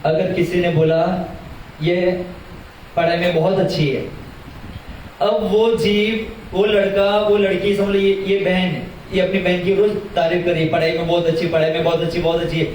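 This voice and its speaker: A young man giving a religious discourse to an audience, lively and questioning, repeating phrases for emphasis. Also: high voice, moderate pace, recorded from a distance, slight background noise. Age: young adult. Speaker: religious teacher. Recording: very echoey hall, heard from afar.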